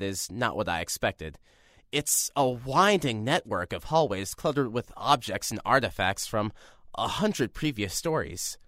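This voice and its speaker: A man's voice: fairly low pitch, no echo, studio quality. An actor playing a nervous, rambling young man filling in as a radio host in an audio drama. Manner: rambling, self-deprecating, lively.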